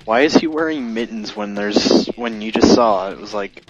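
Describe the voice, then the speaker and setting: A man's voice: lowish voice, normal speed, muffled recording, noisy background. A man doing casual, crude, joking commentary with friends over a video game. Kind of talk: casual group gameplay commentary.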